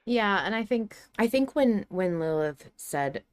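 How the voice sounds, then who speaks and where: A woman's voice: mid-range voice, lively intonation, unhurried, smooth, no room sound. A woman hosting a podcast, talking through her thoughts in a casual, reflective, conversational way. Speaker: podcast host.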